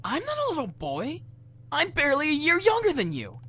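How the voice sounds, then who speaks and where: A woman's voice: high-pitched, wildly swooping pitch, a little fast, bright, forceful tone, exaggerated voice. A woman voicing a cheeky, boyish young male incubus with a teasing, petulant streak in a visual novel game. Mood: indignant. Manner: teasing, cocky, youthful. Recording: game audio with music underneath.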